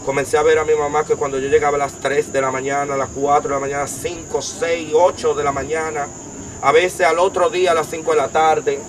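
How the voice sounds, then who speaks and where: A young man with a Dominican accent, giving an emphatic personal testimony as an interview guest, piling up details in long rapid lists. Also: a little high, little pitch movement, noisy background. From Dominican Republic. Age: young adult.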